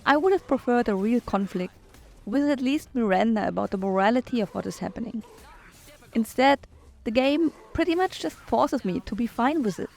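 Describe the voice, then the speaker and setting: A woman's voice: fairly high pitch, animated, moderate pace, creaky, a little mellow, faint music behind. A woman giving thoughtful, critical commentary over a video game. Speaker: gaming commentator. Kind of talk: recorded gameplay commentary.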